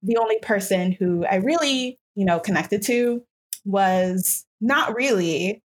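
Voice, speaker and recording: A woman's voice: medium pitch, animated, slow pace, slight background noise. Reflective woman in her twenties. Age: 20s. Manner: reflective, many "like" fillers and restarts. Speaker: podcast host. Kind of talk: casual personal storytelling.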